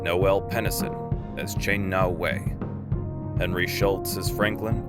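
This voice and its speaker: A man reading scripted credits as the announcer of an audio drama. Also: low-pitched. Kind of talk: scripted cast credits narration. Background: loud music.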